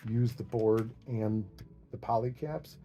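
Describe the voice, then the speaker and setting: Mellow-voiced man rambling casually. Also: mid-range voice, slow pace. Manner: rambling, thinking aloud, self-deprecating. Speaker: DIY hi-fi hobbyist presenter. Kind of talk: casual unscripted video explanation.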